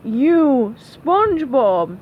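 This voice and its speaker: Lady doing a goofy dim starfish guy, friendly n eager, high voice, muffled audio. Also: big pitch swings, drawn-out words, exaggerated voice. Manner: simple, eager. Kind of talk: read-aloud children's cartoon storybook. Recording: dull, noisy recording.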